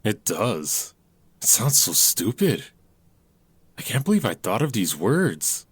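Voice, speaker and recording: A young songwriter who keeps running himself down, voiced by a man. His voice sits very low and his delivery is slow and heavy. The recording is dry and close, with no room sound. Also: wildly swooping pitch, breathy edge, no room sound, full character voice. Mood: dejected. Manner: self-deprecating, frustrated, mutters to himself. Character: Otto, young man, self-doubting songwriter. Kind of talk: audio drama acting.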